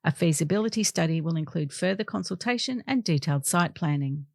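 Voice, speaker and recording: A woman's voice: fairly low pitch, lively intonation, a little fast, gravelly, no echo, clean studio sound. A woman reading local newspaper articles aloud as scripted narration. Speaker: volunteer newspaper reader.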